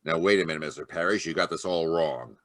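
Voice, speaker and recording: A man's voice: extremely low pitch, quick pace, a bit bright, dry recording, studio quality. A man playing a brusque, tough police inspector in a radio drama read live over a video call. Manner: brusque, barking. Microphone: home microphone on a video call.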